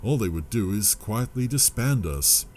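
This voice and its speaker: A man playing an authoritative doctor who leads a team, speaking in a measured, commanding way in an audio drama. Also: fairly low pitch, expressive, background noise. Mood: grave, measured.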